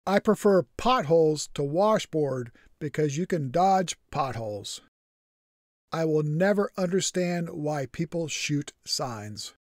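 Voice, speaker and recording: A man's voice: a little high, darkish tone, no room sound. A man narrating his camping trip in a scripted voice-over, matter-of-fact with dry humor. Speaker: vlogger.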